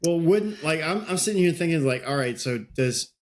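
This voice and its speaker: A man's voice: fairly high pitch, expressive, normal speed. A man in his forties co-hosting a podcast in casual conversation. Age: 40s. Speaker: podcast co-host. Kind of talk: casual chat. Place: home room, on a group video call.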